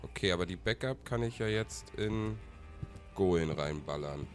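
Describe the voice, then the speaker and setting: A man's voice: sits low, animated, normal speed. A man in his thirties with a cold, chatting casually to viewers while playing a game. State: has a cold. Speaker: let's player. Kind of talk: casual gameplay commentary. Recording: voice over game music.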